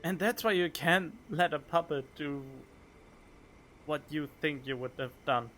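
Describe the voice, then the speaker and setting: A man's voice: on the high side, a little slow, a bit bright, faint music behind. A young man streaming a video game, giving casual reaction commentary with exclamations and joking asides on a close microphone. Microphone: close studio condenser microphone.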